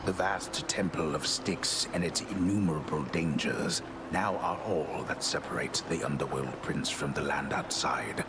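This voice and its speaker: A man playing a solemn, grandiose storyteller narrating a mythic Underworld in a video game, with slow, pompous, ornate delivery. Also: rumbling low. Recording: loud music behind the voice. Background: loud music.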